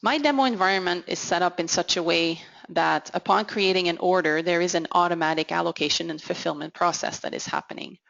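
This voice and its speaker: A woman giving an instructional software walkthrough as a consultant, in a measured, explanatory way. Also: lowish voice, vocal fry. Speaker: technical consultant presenting a software demo. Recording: dull, lo-fi audio. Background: voice-over for a screen recording.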